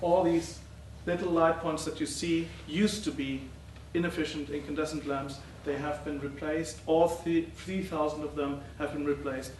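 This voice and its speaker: A middle-aged man giving a conference talk as a professor presenting research, speaking haltingly with many uh and um fillers. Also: fairly high pitch, steady tone, darkish tone. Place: lecture hall. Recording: roomy, distant, faint noise.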